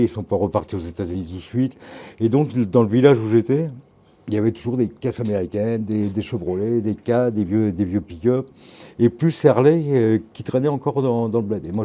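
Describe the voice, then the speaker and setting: A man in his sixties from Normandy, telling relaxed, rambling anecdotes in a casual interview as a tattoo artist and photographer. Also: fairly low pitch. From Normandy, France. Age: 60s.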